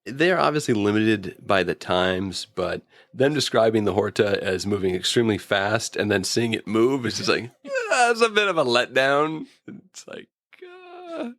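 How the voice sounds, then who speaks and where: A man with a low, gravelly voice co-hosts a casual review chat. His pitch swings about freely as he riffs and exaggerates for comic effect. The sound is close and dry. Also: sing-song, moderate pace, a bit bright, dry recording. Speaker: podcast co-host reviewing TV episodes.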